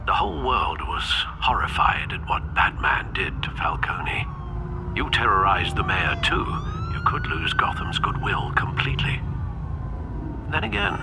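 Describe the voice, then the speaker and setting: A man playing a loyal older British butler in a video game, measured and fatherly as he gives cautious counsel. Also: lowish voice, noisy background, heavily acted. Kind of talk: dramatic video game acting.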